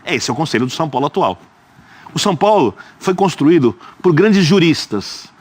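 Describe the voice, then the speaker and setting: A man's voice: on the high side, sing-song, normal speed, breathy edge. A man, arguing his view as a guest in a studio football debate, emphatic and explanatory. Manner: emphatic and explanatory, with rhetorical asides.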